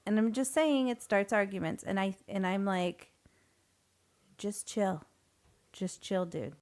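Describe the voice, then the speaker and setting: A woman's voice: mid-range voice, a little slow, a little mellow. A woman co-hosting a podcast, talking in a casual, conversational style. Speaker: podcast co-host.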